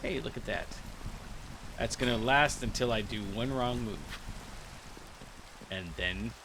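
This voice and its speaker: A man's voice: medium pitch, slow pace, background noise. A man giving casual, rambling commentary while playing a video game, thinking aloud with many restarts. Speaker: gaming video creator. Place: cold room with a heater.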